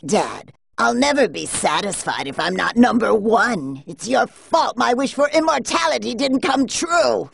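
A woman voicing a haughty, cruel male alien tyrant in an anime fighting game, with a raspy voice and a sneering, mock-polite, theatrical delivery. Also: fairly high pitch, big pitch swings, a little slow, hardly any pauses, dry recording, full character voice. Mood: sly, condescending. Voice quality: raspy, gravelly.

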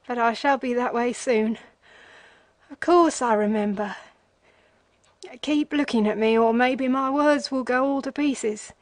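A woman's voice: high voice, no echo. A woman reading aloud, voicing a ragged, broken old man who whines and pleads. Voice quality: whining, cracked. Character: broken ragged old male wanderer, whimpering. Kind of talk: storybook reading.

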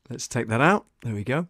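A man's voice: mid-range voice, fairly bright tone, breathy edge, no echo. British man, casual and hesitant, dry sound. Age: middle-aged. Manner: hesitant, thinking aloud while working. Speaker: voice-over artist and audio producer hosting a livestream. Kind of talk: casual screen-share tutorial talk.

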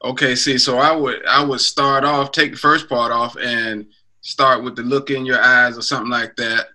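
A man's voice: medium pitch, fairly even intonation, normal speed, bright, forceful tone. A man, a music producer, coaching an artist casually over a video call from home, brainstorming aloud and asking probing questions. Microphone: webcam on a video call.

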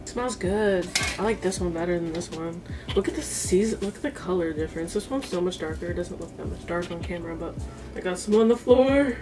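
A woman's voice: on the low side, brisk, distant mic, rough recording. A woman in her twenties with an American accent, chatting informally and thinking aloud as she films herself cooking in a home kitchen. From United States, East Coast. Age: 20s. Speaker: cooking vlogger. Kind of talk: casual chat to camera while cooking. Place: small home kitchen, indoors. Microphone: camera a few feet away. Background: music.

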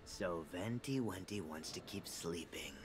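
A man's voice: low-pitched, unhurried, background noise. A man voicing a sinister young video game villain who taunts and sneers smugly. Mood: smug, mocking. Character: mocking, sinister young male villain, smug.

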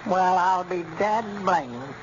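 A man's voice: high voice, animated, takes it slowly. An actor playing a fretful, naive little old country man with a rural Southern US accent, folksy and exclaiming, in a 1930s radio comedy. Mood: dismayed. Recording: muffled, noisy old radio recording.

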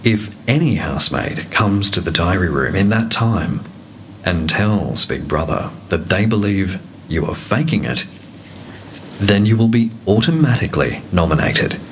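Deep, slow male announcer with a commanding, measured read. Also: big pitch swings, a little hiss or hum. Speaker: reality show voice of Big Brother. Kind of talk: scripted announcements.

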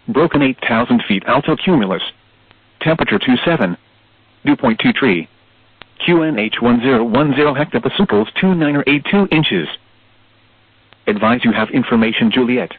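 A man's voice: mid-range voice, slightly rough, no echo. A man announcing a formulaic airport information bulletin over a narrowband aviation radio broadcast. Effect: aviation radio transmission. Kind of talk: formulaic read-out aeronautical bulletin.

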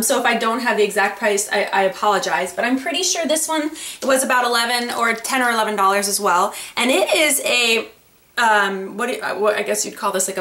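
A woman's voice: fairly high pitch, sharp tone, echoey room. A young woman vlogger talking casually at her desk about accessories and a giveaway, with plenty of ums. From Florida. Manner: chatty, lots of ums, upbeat. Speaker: beauty vlogger. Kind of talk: casual product haul talk. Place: home room with desk and lamp. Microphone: webcam at desk.

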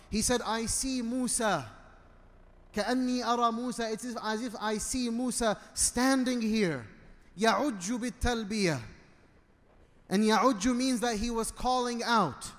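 High-pitched male preacher in a reverberant hall. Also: fairly bright tone. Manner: emphatic, deliberate phrasing in short bursts. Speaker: imam giving a sermon. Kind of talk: sermon with Arabic recitation. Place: indoor prayer hall at a pulpit. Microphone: microphone on a stand.

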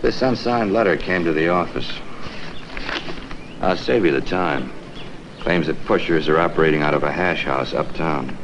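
An actor playing a businesslike investigator handing out an assignment, dry and terse, in mid-century crime film style. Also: male voice, extremely low pitch, normal speed, pauses often. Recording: muffled, noisy old film soundtrack.

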